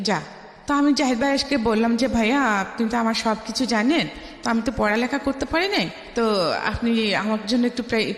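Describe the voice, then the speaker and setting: Middle-aged Bangladeshi female guest, high voice, emphatic personal storytelling, recorded with long reverb. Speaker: guest telling her life story. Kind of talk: personal storytelling in a radio interview. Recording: echoey room with long reverb.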